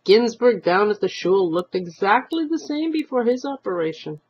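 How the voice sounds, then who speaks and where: A woman's voice: mid-range voice, big pitch swings, moderate pace, breathy edge, highs cut off, pitched above the performer's own voice. A woman voicing a stubborn, sardonic old husband with a Yiddish-inflected accent in a short story reading. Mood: boastful, admiring. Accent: Yiddish-inflected English. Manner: dry, rhetorical grumbling.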